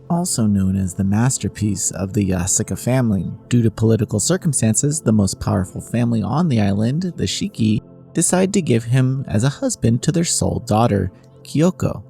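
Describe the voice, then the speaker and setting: Male game reviewer, low and mellow, measured scripted narration over music. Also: lively intonation, gravelly.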